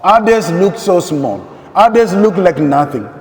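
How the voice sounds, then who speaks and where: A man's voice: high-pitched, big pitch swings, measured pace, slight background noise. A middle-aged man from the Democratic Republic of Congo, a priest preaching a sermon with repeated, emphatic phrases and rhetorical questions. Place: large church, indoors. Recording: echoey church with long reverb.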